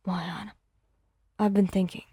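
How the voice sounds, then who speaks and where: A woman voicing a gruff young man who is a remorseful, tender boyfriend, speaking softly and earnestly in an ASMR fan fiction roleplay. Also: flat delivery, takes it slowly, no echo, studio quality. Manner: soft, low, earnest.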